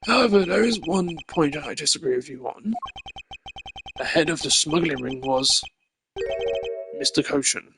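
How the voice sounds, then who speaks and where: A sly old man of high office, acted out by a streamer, polite yet condescending. He speaks deliberately with animated intonation over music, as a video game villain. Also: natural voice, low-quality recording. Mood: grudging. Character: sly old male ambassador, smooth and condescending.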